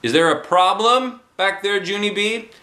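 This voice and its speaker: A man voicing a kindly woman schoolteacher who asks questions in a raised, gentle voice. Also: sits high, moderate pace, reverberant, voice pushed up. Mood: concerned.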